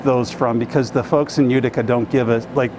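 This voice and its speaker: A man's voice: mid-range voice, fairly even intonation, a little fast. Male TV host, mid-range voice, loose conversational questions, background hum. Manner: conversational, stumbling restarts. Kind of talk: casual on-location interview. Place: grocery store aisle.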